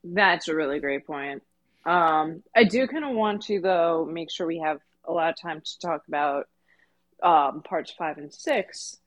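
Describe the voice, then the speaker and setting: Slightly low-pitched woman podcast co-host. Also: measured pace. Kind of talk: casual book discussion.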